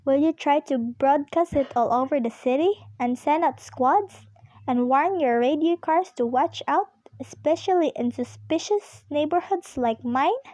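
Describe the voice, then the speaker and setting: A high, dry-sounding voice of a nervous invalid wife, acted by a woman in an amateur radio drama. She is demanding and self-pitying, complaining in a fretful, imperious manner. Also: a little slow, darkish tone, dry recording. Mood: anxious, pleading. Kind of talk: amateur school radio play acting.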